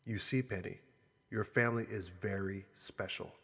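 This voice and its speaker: A man playing a grave, protective uncle in an audiobook, with a husky voice and an earnest, solemn manner. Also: sits low, steady tone, moderate pace, a bit bright, heavily acted. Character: grave, protective adult uncle, tender guardian revealing secrets. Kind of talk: audiobook character dialogue. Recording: voice in a long hollow reverb.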